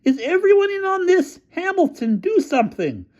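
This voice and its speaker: A man's voice: very high-pitched, very animated, normal speed, darkish tone, lo-fi sound, pitched above the performer's own voice. A man doing a flustered, officious cop. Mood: frantic. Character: officious, easily flustered male police officer.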